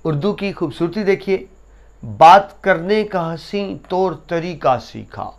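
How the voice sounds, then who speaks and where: A man giving a lesson to students, explaining essay writing and reciting couplets slowly with emphasis. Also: high voice, lively intonation, creaky. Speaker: teacher.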